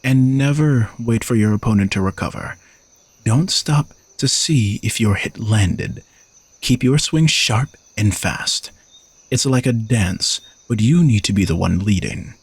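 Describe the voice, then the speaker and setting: Man playing arrogant knight bodyguard, low raspy voice, teasing, gruff, intimate audio roleplay. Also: animated, unhurried, dry recording, exaggerated voice. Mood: confident, teasing. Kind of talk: audio roleplay acting, addressed to the listener.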